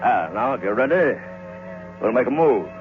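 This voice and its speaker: A man's voice: medium pitch, lively intonation, normal speed, phone-quality sound, natural voice. A man playing a garrulous waxworks manager in old radio horror style, hesitant and chatty, relishing the gruesome. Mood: apologetic, businesslike. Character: garrulous waxworks manager, ghoulishly proud of his murderers. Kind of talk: 1940s radio horror acting. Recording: thin old radio recording, music underneath.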